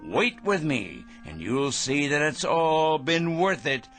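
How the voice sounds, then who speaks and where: An actor playing a pompous, paranoid megalomaniac in a radio drama, with a theatrical, feverishly excitable, ranting manner. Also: male voice, on the high side, slow pace, faint music behind, full character voice. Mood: smug, preening.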